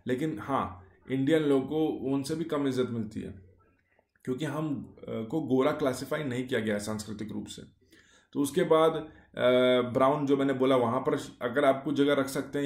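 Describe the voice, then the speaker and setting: A man's voice: mid-range voice, darkish tone. Man explaining in an echoey room. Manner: talks in short clauses, many fillers and rhetorical questions. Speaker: YouTube explainer. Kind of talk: casual explainer monologue.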